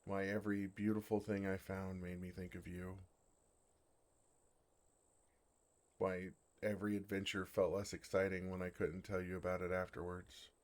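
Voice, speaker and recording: A man voicing a bashful, earnest dragon in love in an ASMR fantasy roleplay, speaking tenderly with hesitant, awkward pauses. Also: sits low, little pitch movement, close to an everyday voice. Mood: tender realization.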